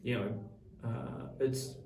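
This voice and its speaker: A middle-aged New Zealand man with a creaky, gravelly voice talks through his views in an interview. He is thoughtful and hesitant, circling back on words. The room echoes and he sits away from the microphone. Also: mid-range voice, steady tone, takes it slowly, dark tone, a little hiss or hum. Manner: hesitant, thoughtful, repeats words while forming points. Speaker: radio executive, interview guest. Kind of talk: long-form interview conversation. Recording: roomy echo, distant from mic.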